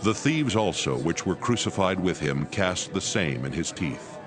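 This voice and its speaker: A man's voice: low voice, expressive, a little slow, a crowd in the background. A man narrating scripture in a dramatic, scripted style.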